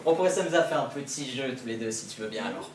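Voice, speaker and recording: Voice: medium pitch, lively intonation, rapid-fire, natural voice. A teen acting out a sly coaxing wolf on a school stage. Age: teenager. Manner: gentle coaxing, feigned sweetness. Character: smooth-talking male wolf, sly and hungry. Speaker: student actor. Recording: echoey hall from far off.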